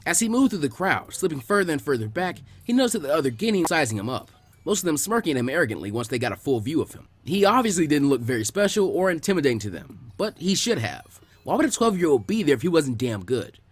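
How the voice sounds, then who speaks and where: A man narrates a story at a brisk clip. His fairly high voice moves expressively up and down, with faint music behind it. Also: big pitch swings, husky. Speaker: narrator of fan fiction readings.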